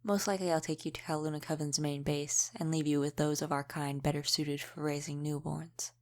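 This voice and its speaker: Centuries-old female vampire mentor, played by a woman: low register, guarded, measured, dryly matter-of-fact. Audio roleplay. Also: level tone. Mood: wry, matter-of-fact. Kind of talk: scripted audio roleplay, addressed to the listener.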